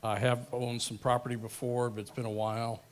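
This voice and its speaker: A man from Kansas who works in construction, introducing himself in a halting way that trails off. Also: medium pitch, flat delivery. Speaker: construction worker.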